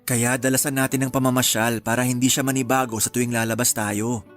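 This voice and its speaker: A man's voice: mid-range voice, level tone, a little fast, fairly bright tone, natural voice. An actor playing a mild, reassuring young husband and father in a radio drama. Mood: easygoing. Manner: mild, reassuring, calls wife "mahal". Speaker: husband character. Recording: faint music bed behind.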